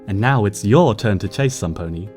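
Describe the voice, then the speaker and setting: A man voicing a wise, gentle female moon princess pony who speaks in a formal, slightly old-fashioned way in a storybook reading. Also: on the low side, animated, moderate pace, faint music behind, lightly acted. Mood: fond. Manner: formal, archaic-tinged, tender speech.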